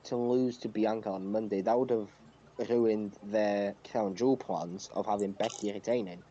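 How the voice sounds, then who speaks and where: A man's voice: on the low side, fairly even intonation, normal speed, warm tone. A man co-hosting a podcast, casually recapping news, recorded on a dull lo-fi mic with faint noise. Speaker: podcast co-host.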